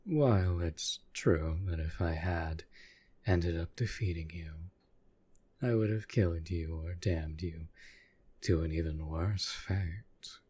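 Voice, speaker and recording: A man playing a proud, possessive demon in a roleplay audio drama, speaking formally to the master he serves with menacing devotion in a husky, breathy voice. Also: deep voice, a little mellow, no room sound. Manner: formal, measured, menacing yet deferential.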